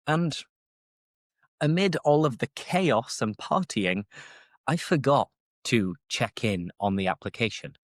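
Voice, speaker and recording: A young British man hosts an English-learning podcast, talking off the cuff in a chatty, rambling way. His voice rises and falls a great deal, with a pitch that sits mid-range for a man. The recording is close and dry, with no room echo. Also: lively intonation, slow. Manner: chatty, rambling, explains as he goes. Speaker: English teacher and podcast host. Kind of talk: casual unscripted teaching monologue.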